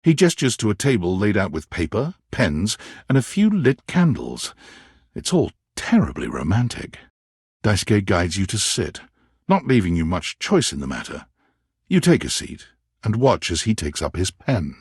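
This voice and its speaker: A man playing a wry, dramatic storyteller who narrates a romance video game in measured second person. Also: on the low side, huge pitch swings, darkish tone, slightly rough, dry recording, clean studio sound, full character voice.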